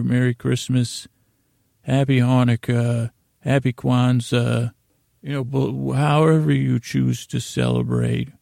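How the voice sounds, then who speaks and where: A man with a dark, mellow and deeply creaky voice hosts a bedtime podcast. He meanders and doubles back on his sentences with many false starts. The sound is dry and close. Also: dry recording. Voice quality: gravelly, creaky. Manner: meandering, halting, self-correcting with repeated false starts. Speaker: podcast host. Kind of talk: rambling bedtime podcast intro.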